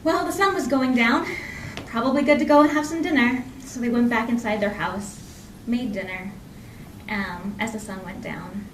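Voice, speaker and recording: A woman telling a folk tale live in an expressive, conversational way, lingering on repeated phrases. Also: fairly high pitch, moderate pace. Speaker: storyteller. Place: indoor library program before an audience. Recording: echoey room from far off, faint noise.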